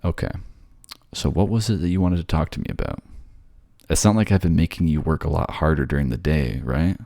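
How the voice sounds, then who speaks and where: A man's voice: a bass voice, brisk, creaky, warm tone, no room sound, studio quality. A man playing a bored, sardonic ghost who haunts a library, snarky and conversational in an ASMR audio roleplay. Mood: defensive, teasing. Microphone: close, dry microphone.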